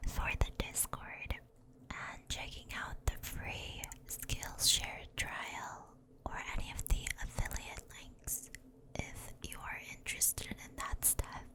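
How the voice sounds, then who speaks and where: A woman speaking casually as an ASMR creator in a very quiet whisper, as if signing off to her audience. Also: takes it slowly, rough recording. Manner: informal, self-correcting. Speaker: ASMR creator giving sign-off.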